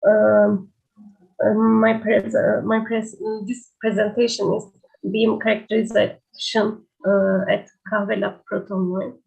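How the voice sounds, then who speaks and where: A woman's voice: mid-range voice, level tone, slow, creaky, a little mellow, rough recording. A woman starting a conference talk, hesitant and stumbling. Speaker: conference presenter. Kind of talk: presenting a talk.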